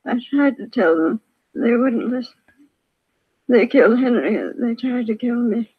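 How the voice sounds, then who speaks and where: An actress playing a dazed, grieving, injured widow in an old radio crime drama, weak and repetitive, in short broken sentences. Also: female voice, on the high side, a little slow, husky. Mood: dazed, distressed. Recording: thin, phone-like vintage radio recording.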